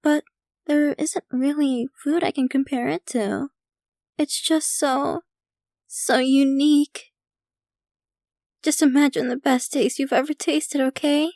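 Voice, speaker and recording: A woman's voice: sits high, steady tone, slow pace, gravelly, long pauses, a little mellow, dry recording, studio quality, heavily acted. A woman playing a possessive, rich yandere vampire girlfriend in an ASMR roleplay, speaking to the listener in a theatrical, doting, gushing manner. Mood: delighted, gushing. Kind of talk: ASMR roleplay, scripted intimate monologue to listener.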